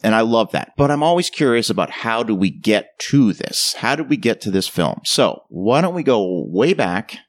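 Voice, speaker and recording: A man's voice: fairly low pitch, very animated, moderate pace, few pauses, dry recording. A male podcast host asking curious, conversational questions in a casual interview.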